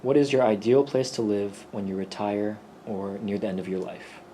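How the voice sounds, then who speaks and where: A man's voice: fairly low pitch, steady tone, normal speed, dark tone, a little hiss or hum. A man acting as interviewer, speaking plainly as he puts questions to people.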